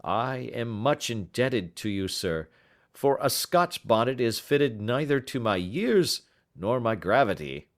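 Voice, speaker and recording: A man voicing a learned, down-on-his-luck older gentleman in an audiobook, courteous and slightly pompous, choosing words with slow staccato care. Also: medium pitch, very animated, pitched above the performer's own voice. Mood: obliging, dignified. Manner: slow staccato, careful word choice, pompous. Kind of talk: audiobook character acting.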